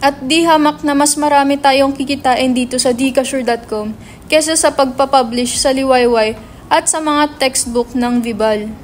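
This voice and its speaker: Babaeng gumaganap na brusko, palamurang binata, mataas ang boses. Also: female voice, high-pitched, steady tone, normal speed, a little hiss or hum, natural voice. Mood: argumentative. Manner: swearing, slangy, insistent. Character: brash, foul-mouthed young male writer running a website. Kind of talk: dramatic reading of a stage script.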